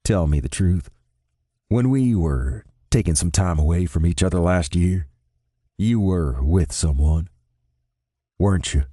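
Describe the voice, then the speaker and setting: A man voicing a smooth-talking Texan man, sad and resigned, with a low drawl. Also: lively intonation, a little slow, pauses often, dark tone, dry recording, clean studio sound. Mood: dry. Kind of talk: audiobook character voice.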